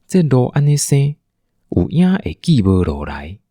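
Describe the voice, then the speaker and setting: A man's voice: mid-range voice, huge pitch swings, a little slow, dark tone, no echo, studio quality. A man with a Taiwanese accent narrating a scripted audio drama as a storyteller.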